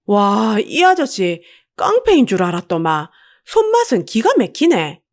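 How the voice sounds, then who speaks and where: A woman playing a defiant, down-and-out middle-aged woman with a sharp tongue and a Busan accent. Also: medium pitch, wildly swooping pitch, unhurried, pitched above the performer's own voice. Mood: cheeky relief. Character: feisty, vengeful down-and-out betrayed middle-aged woman.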